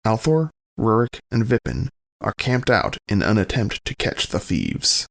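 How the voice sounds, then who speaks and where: A man's voice: on the low side, sing-song, a little slow, gravelly, a bit bright. A man reading scripted scene-setting narration as the narrator of an audio drama.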